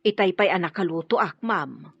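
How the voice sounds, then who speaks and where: A woman's voice: on the low side, lively intonation, moderate pace, vocal fry, a bit bright, breathy edge, no echo, dull audio, full character voice. An actress playing a nervous, deferential housemaid in a radio drama, who keeps calling her employers sir and ma'am. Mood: dutiful. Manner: deferential, flustered. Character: nervous middle-aged female housemaid, eager to please.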